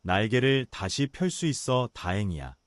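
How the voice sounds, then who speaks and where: Kind young tiger in a bedtime storybook, low and earnest, lively pitch, dry close sound , voiced by a man. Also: normal speed, no room sound. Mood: gentle. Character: gentle young male tiger, kind and calm. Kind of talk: storybook voice acting.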